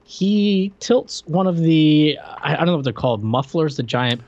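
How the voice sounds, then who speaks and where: A man's voice: on the high side, expressive, normal speed, lo-fi sound, a loud crowd. A man co-hosting a podcast in casual chat, animatedly retelling movie scenes. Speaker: podcast co-host.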